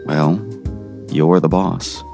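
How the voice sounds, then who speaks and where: A man's voice: low voice, steady tone, very slow, vocal fry, a little mellow, faint music behind, full character voice. A man playing an earnest, dutiful small-town sheriff in an audio drama, serious and respectful. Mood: resigned.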